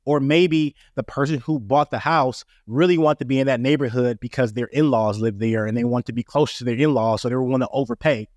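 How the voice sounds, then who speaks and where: A man hosting an instructional podcast, his voice raspy from a cold, talking in an earnest, explaining way. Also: mid-range voice, a little fast, few pauses, darkish tone, dry recording, studio quality. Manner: earnest, repeats "right?" and "that being said". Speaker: podcast host. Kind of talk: casual instructional podcast talk.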